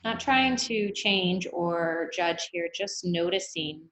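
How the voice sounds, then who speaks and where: A woman guiding a meditation, speaking in an unhurried, gently instructive way with long pauses. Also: on the low side. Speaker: meditation guide. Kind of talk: guided meditation, body scan. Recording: echoey room.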